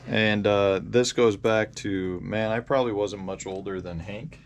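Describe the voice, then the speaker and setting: An American man in his forties telling casual, rambling, halting stories as the host of a fishing vlog. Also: lowish voice, steady tone. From Indiana, United States. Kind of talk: casual vlog storytelling about fishing gear. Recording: clear background noise.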